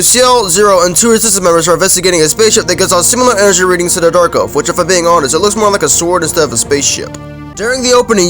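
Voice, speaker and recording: Fast-talking, high-voiced man over music. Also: fairly bright tone. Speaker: video game reviewer. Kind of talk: fast scripted recap narration over game footage. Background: background music.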